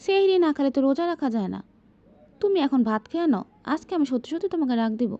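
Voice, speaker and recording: A woman's voice: high voice, sing-song, racing, warm tone, muffled recording. A Bangladeshi woman playing a patient, coaxing mother in an amateur cartoon.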